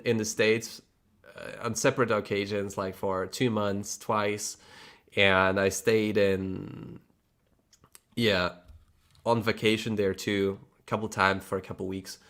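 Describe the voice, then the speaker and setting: A man from Poland speaks English with a Polish accent in a fairly low voice. He chats casually with his livestream audience, rambling, restarting words and laughing often. His talk is frank and loose, with some mild swearing. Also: gravelly, a bit bright. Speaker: livestream host.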